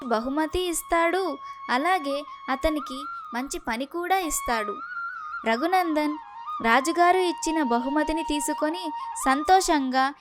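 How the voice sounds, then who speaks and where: A woman's voice: high-pitched, a bit bright. A woman reading scripted narration for a children's story.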